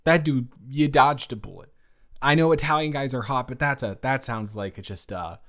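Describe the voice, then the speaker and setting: A man playing a teasing, supportive male roommate in an improvised romantic audio roleplay, talking casually and stammering, with animated outbursts. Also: medium pitch, normal speed, lightly acted. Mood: indignant, reassuring. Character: male roommate and friend, teasing, supportive, indignant on her behalf.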